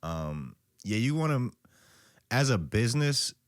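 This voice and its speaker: A man's voice: mid-range voice, very animated, a little slow, no room sound, clean studio sound. A man hosting a podcast, chatting in a loose, laid-back way with plenty of "like" and some swearing. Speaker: podcast host. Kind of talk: casual podcast chat.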